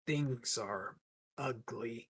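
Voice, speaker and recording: Man, tabletop player, medium pitch, earnest, clipped phrases, in-character improvisation, dry recording. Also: very slow, fairly bright tone, slightly rough, no echo. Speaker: player in a roleplaying game.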